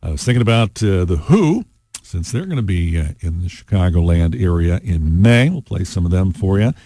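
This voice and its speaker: Animated American rock radio DJ, dry studio sound. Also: male voice, deep voice, normal speed, no echo. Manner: casual, conversational, playful asides. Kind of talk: upbeat classic rock radio DJ patter. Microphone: close studio broadcast mic.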